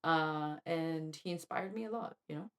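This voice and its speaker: A woman from Colombia co-hosting a podcast, telling a personal story in an animated, chatty way with lots of "like". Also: sits low, moderate pace. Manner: animated, quotes herself, fillers like "like". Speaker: podcast co-host. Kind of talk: casual conversational storytelling.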